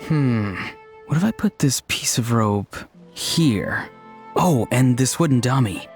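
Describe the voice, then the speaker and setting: A mild, friendly young man from a video game, with a medium-pitched voice. He speaks thoughtfully and a little hesitantly over faint music. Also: lively intonation, slow. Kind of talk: video game character acting.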